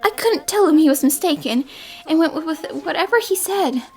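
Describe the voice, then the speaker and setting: A woman's voice: very high-pitched, expressive, moderate pace. A woman voicing a shy, self-deprecating, remorseful young countrywoman in an anime dub. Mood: wistful. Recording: background music under the dialogue.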